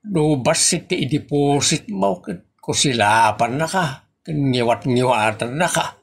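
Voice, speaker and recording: Man playing a gravelly, excitable old Ilocano husband. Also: medium pitch, unhurried. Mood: indignant. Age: older. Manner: exclamatory, complaining. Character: grumbling, excitable old village husband and father. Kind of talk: comedy audio drama acting.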